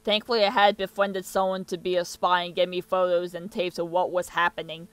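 A man's voice: high voice, little pitch movement, a little fast, a bit bright, clean tone, no room sound. A young man giving casual reaction commentary and reading captions aloud, with muttered asides, on a close mic in a small room. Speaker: reaction video host.

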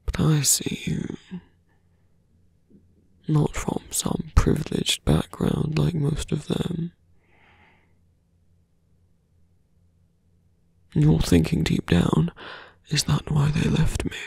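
A man playing a seductive, embittered slum-born villain in a fantasy ASMR roleplay, speaking slowly and intimately in a breathy, gravelly half-whisper. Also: fairly high pitch, a little mellow, no room sound, exaggerated voice. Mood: seductive, knowing. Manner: slow, intimate, half-whispered, deliberate pauses.

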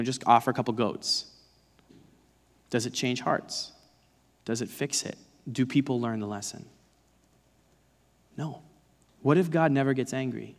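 Male preacher, thirties, mid-pitched, conversational but emphatic, big-hall reverb. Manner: emphatic, dramatizes points with rhetorical questions. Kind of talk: conversational sermon. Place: large dark church stage, indoors.

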